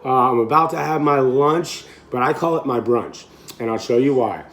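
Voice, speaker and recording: A man's voice: medium pitch, normal speed, a bit bright. A man in his late thirties, a fitness coach, chatting casually in a home cooking vlog, rambling and correcting himself with easy laughs. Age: 30s.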